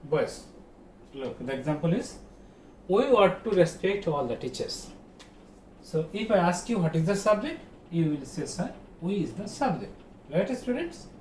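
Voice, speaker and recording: Male teacher, Bangladeshi accent, lively swooping intonation, didactic and repetitive, picked up by a distant mic in a roomy space. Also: high-pitched, unhurried. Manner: didactic, repetitive, asks and answers own questions. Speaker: school teacher. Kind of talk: online grammar lesson. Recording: roomy echo, distant mic, faint noise.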